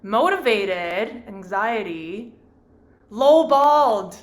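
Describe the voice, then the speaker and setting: Woman, panel moderator, from Hong Kong, upbeat, chatty, average pitch. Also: expressive, extremely slow. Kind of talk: hosting a virtual panel.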